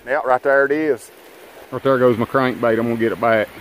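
A man talks in a folksy, rambling way as he fishes from a rocky riverbank. His voice sits in an ordinary middle pitch, picked up by a camera on his body, with a faint wash of outdoor noise behind him. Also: fairly even intonation, brisk, poor audio. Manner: folksy, rambling, talks to viewers as guys and boys. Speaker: fishing vlogger. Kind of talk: casual running commentary while fishing. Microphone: body-worn action camera.

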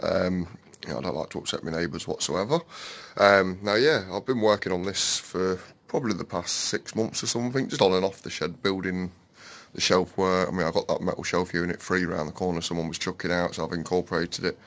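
A man's voice: sits low, brisk, fairly bright tone. A man chatting casually to viewers while walking around his allotment and shed, full of fillers and calling them guys. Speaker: allotment vlogger. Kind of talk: casual walk-and-talk vlog. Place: outdoor allotment, then small garden shed.

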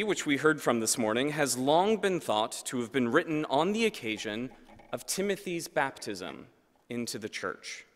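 A man in his thirties preaching a sermon at a lectern microphone in a large church, measured and earnest, letting his phrase ends fall low and quiet. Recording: long church reverb.

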